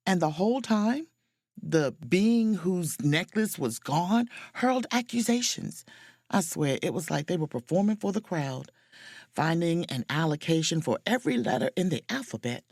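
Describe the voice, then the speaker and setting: A woman playing a wry, self-possessed spaceship officer, narrating her own adventure in an expressive, sardonic audio drama style. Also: fairly low pitch, normal speed, no echo. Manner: dry, sardonic, expressive storytelling.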